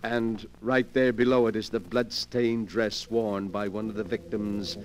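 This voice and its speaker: An actor playing a sinister, scheming rich collector with a suave, theatrical and menacingly polite manner, in 1940s radio style. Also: male voice, on the low side, fairly even intonation, measured pace, natural voice. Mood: proud, showy. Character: sinister wealthy male collector of murder relics, wheelchair-bound, scheming. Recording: 1940s radio drama recording.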